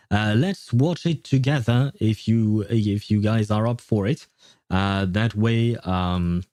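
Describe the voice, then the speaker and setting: Male streamer, low relaxed mellow voice, dry close sound, rambling casual chat with playful grumbling. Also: no room sound. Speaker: livestream host, gaming streamer. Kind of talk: casual livestream chat with viewers.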